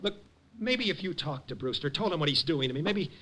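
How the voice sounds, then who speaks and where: A man's voice: a little high, lively intonation, a little fast, sharp tone. An actor playing a panicky young man at the end of his rope in an old radio drama, pleading. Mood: desperate. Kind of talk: 1950s radio drama acting. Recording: old radio broadcast, faint hiss.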